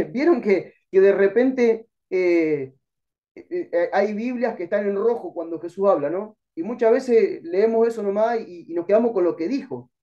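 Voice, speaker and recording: A man's voice: high-pitched, normal speed, dark tone, muffled recording. A middle-aged man with an Argentine accent teaching an online Bible class, earnest and exhorting, calling listeners brother. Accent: Argentine Spanish.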